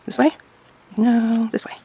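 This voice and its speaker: A woman chatting casually as she crafts, thinking aloud hesitantly in a hoarse, gravelly voice. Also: fairly high pitch, flat delivery, measured pace, dark tone. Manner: hesitant, musing to self. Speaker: craft video maker.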